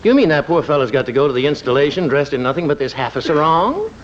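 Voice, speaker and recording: A man's voice: on the high side, big pitch swings, fast, slight background noise. An American actor playing a fussy, pompous but kindly middle-aged doctor and father in an old radio comedy. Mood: teasing, puzzled. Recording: muffled old-time radio recording.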